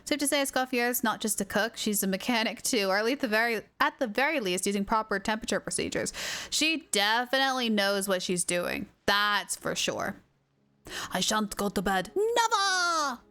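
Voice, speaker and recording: A woman's voice: mid-range voice, lively intonation, normal speed, bright, forceful tone. A woman livestreamer giving playful, casual game reaction commentary, repeating phrases for emphasis.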